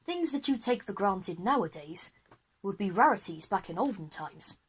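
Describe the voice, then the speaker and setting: A woman's voice: medium pitch, animated, normal speed, breathy edge, rough recording. A woman playing an enthusiastic, easily flustered male history teacher in a children's audio drama, with an eager, wordy lecturing manner.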